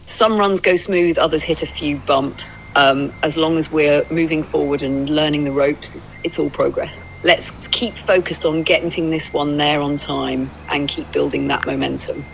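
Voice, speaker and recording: Low, creaky, easygoing synthetic female companion voice. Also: fairly even intonation, moderate pace, hardly any pauses, slight background noise, low-quality recording. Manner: encouraging, reflective, conversational. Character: easygoing, upbeat travelling woman documentarian companion. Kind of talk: AI voice companion in casual roleplay chat. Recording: music behind the voice. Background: music.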